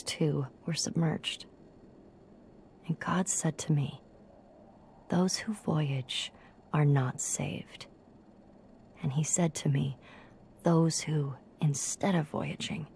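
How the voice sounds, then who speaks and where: A woman playing an earnest perfectionist in a game audio log, speaking in a soft, breathy half-whisper. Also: low voice, a little hiss or hum. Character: earnest, perfectionist woman recording solemn readings. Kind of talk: scripted reading and natural dialogue for game audio logs.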